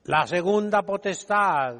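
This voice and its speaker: High-voiced man preaching, long hall reverb. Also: lively intonation, slow pace, fairly bright tone. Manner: emphatic, didactic, rhetorical questions with calls to repeat. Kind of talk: sermon.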